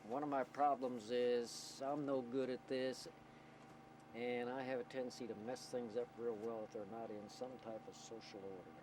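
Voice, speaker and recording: A man who runs a community radio station explains things informally in an interview, halting and choosing his words carefully, on a noisy old documentary recording. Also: fairly even intonation. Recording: noisy 1990 documentary tape, vehicle or generator rumble.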